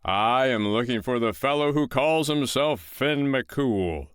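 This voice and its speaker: A man voicing a huge blustering male giant who roars and growls in slow, boastful speech. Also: deep voice, fairly bright tone, smooth, dry recording, studio quality. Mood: roaring.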